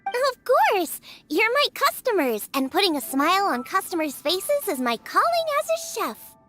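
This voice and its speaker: A woman's voice: extremely high pitch, moderate pace. A woman voicing a cheerful, eager young chef in a game cutscene, over music. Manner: bubbly, energetic.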